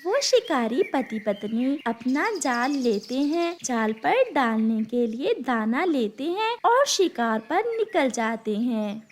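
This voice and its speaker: High-pitched, slow woman storyteller. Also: big pitch swings, slight background noise. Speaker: narrator. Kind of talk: children's story narration.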